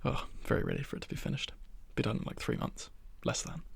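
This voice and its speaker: A man of twenty-one chatting in a soft-spoken ASMR style in his bedroom, rambling and self-deprecating with soft laughs, close to the microphone. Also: quick pace, dry recording. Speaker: ASMR creator.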